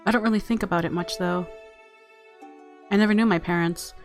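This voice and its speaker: A woman's voice: medium pitch, fairly even intonation, brisk, faint music behind. A woman narrating a visual novel aloud as a let's-player, mixing story reading with casual commentary.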